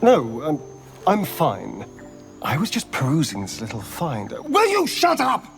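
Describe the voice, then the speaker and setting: An actor playing an elegant, irritable elf rogue in a fantasy video game, with an arch, theatrical manner. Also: male voice, a little high, wildly swooping pitch, measured pace, gravelly, exaggerated voice. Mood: agitated, irritable. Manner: arch, theatrical, clipped asides. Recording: game music behind the voice.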